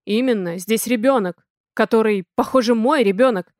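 A tense grown man weighed down by guilt, read by a woman narrator. He speaks low and measured, pressing harder when he insists. The voice is close to the mic and dry. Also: darkish tone, no echo, close to an everyday voice. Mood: insistent.

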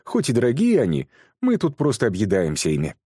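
A man's voice: mid-range voice, wildly swooping pitch, fairly quick, warm tone, husky, no echo, studio quality, voice pushed up. A man voicing a boastful, chatty young woman who brags in a breezy, raised voice.

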